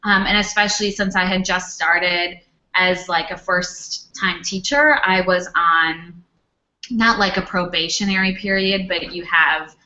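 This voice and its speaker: A woman's voice: on the low side, unhurried, sharp tone. A young woman speaking casually on a Q&A panel, chatty with lots of fillers. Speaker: panelist, former English teacher. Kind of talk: Q&A panel talk. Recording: dull lo-fi recording.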